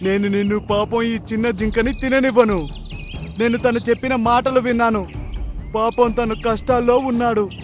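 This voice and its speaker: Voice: mid-range voice, steady tone, normal speed, soft background music, lightly acted. A man voicing a kind, protective, fatherly crocodile in a cartoon, with a reassuring manner. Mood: firm, compassionate. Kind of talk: cartoon character voice acting.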